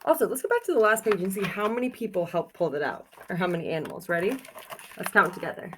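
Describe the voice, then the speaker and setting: A woman's voice: fairly low pitch, animated, fast, noisy background. A woman doing a children's read-aloud like a teacher, reading expressively in a sing-song way and putting simple, encouraging questions to her young listeners.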